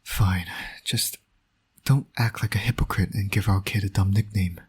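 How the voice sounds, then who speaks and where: A man playing a flirtatious, teasing supervillain and doting father in an intimate audio roleplay, with a husky, breathy voice. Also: low voice, fairly even intonation, normal speed, a little mellow. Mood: teasing persuasion.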